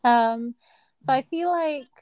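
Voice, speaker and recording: A soft-voiced woman in her thirties with a Kenyan accent, speaking a little high for a woman. She talks in a loose, chatty way, laughs easily and stops to hunt for words. The sound is dry and close, with no room around it. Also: slow pace, smooth, dry recording. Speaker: guest, neurosurgery registrar. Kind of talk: casual interview chat.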